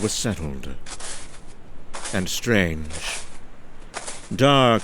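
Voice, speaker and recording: A man playing a lonely, uneasy traveller who narrates a gothic horror tale in a brooding, halting, theatrical way. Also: mid-range voice, slight background noise. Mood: solemn, brooding. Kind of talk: dramatic scripted horror narration.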